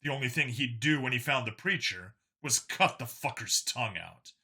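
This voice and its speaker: A man's voice: mid-range voice, expressive, moderate pace, pushed and bright, husky. A man narrating a scripted science fiction story as an audiobook reader.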